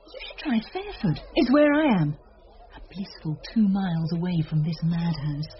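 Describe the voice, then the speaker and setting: An actress playing a wry, worldly British schoolteacher in a radio drama, talking in a dry, teasing, gossipy way. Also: female voice, fairly low pitch, expressive, normal speed, lightly acted. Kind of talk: radio drama acting, conversational. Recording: slightly dull radio recording, background noise.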